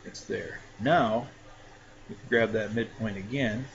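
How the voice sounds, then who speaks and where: A man casually talking through a software tutorial step by step, with frequent fillers. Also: lowish voice, a little slow. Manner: relaxed, talks through each step with fillers. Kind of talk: casual screen-recorded tutorial. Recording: dull lo-fi mic with clear background noise.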